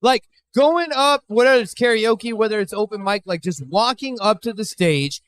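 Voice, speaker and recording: A man's voice: high-pitched, moderate pace, a bit bright. A man from Chicago hosting a live podcast at a bar, loose and profane as he interviews a guest. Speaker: podcast host. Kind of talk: casual live podcast chat.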